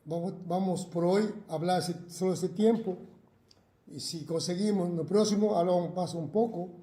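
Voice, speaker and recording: A man's voice: high voice, steady tone, moderate pace, warm tone, reverberant. A man from Argentina, a pastor, preaching and praying earnestly into a self-recorded video, repeating his phrases fervently. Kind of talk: recorded sermon and prayer.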